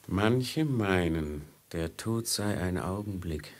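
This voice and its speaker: An actor playing a brooding, fatalistic merchant in a 1950s radio play, with a husky, gravelly voice and halting, weighty delivery. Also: male voice, deep voice, animated. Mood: regretful, musing. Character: brooding male merchant from Damascus, dazed and fatalistic.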